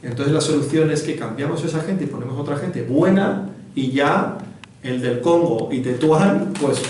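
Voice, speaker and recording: A man's voice: fairly high pitch, a little mellow. A man from Venezuela giving a didactic public talk, often checking in with his listeners. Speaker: book presenter, foundation director. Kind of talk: informal public talk on Marxist theory. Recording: very echoey room, distant mic, faint noise.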